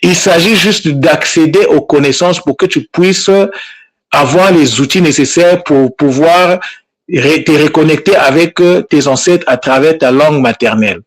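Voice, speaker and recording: A man's voice: a little high, measured pace. A man speaking informally and insistently to his online group as their language teacher, repeating himself and scolding now and then. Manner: insistent, admonishing, with frequent repetitions. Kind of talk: informal spoken address to followers.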